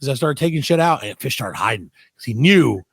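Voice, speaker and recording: A man's voice: medium pitch, expressive, fairly quick, creaky, breathy edge, dry recording. A man co-hosting a comedy podcast, telling casual, joking stories, acting out bits of dialogue and swearing freely. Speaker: podcast co-host. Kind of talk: casual comedic chat and storytelling.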